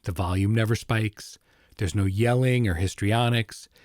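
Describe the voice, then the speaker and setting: A man's voice: fairly low pitch, a little slow, darkish tone, no room sound. Male podcast host, dry close sound. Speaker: podcast host introducing an episode. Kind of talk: scripted narration.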